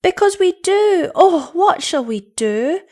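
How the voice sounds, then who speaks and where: Woman putting on a high, pleading little girl. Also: sing-song, normal speed, exaggerated voice. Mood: insistent pleading. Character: two little girls, tearful, pleading, longing to be naughty.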